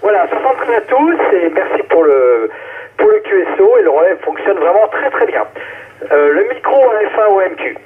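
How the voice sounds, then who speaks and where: Animated high-voiced man over thin radio. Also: normal speed. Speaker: amateur radio operator. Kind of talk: short radio check-in. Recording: narrowband, phone-like ham radio relay.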